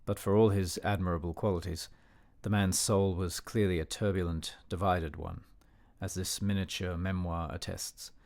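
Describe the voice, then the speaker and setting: A man acting as an educated, disapproving older gentleman narrating a memoir in a radio play, measured, formal and dignified. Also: deep voice, fairly even intonation, creaky. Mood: measured, reflective.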